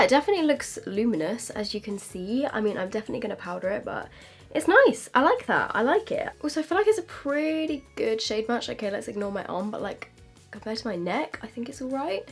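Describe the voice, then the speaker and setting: A young British woman with a slightly stuffy voice from a cold, casually reviewing makeup to camera in a chatty, filler-filled way. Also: fairly high pitch. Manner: chatty, filler-heavy with frequent "like". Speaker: beauty vlogger.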